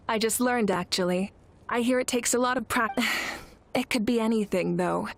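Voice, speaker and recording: An actress playing a composed, dutiful young female leader of warriors in a video game, speaking in a measured way. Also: a little high, close to an everyday voice. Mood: calm, candid.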